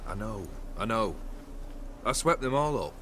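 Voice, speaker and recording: A proud, gallant nobleman husband from a fantasy game. His courtly voice is lowish with animated intonation, and noise is clear behind it. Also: unhurried. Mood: warm, playful. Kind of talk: dramatic video game acting.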